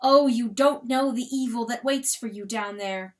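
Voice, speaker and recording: A woman's voice: a little high, moderate pace, darkish tone, distant mic, close to an everyday voice. A woman voicing a small, lonely female bird who wails and pleads. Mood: pleading. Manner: wailing, pleading.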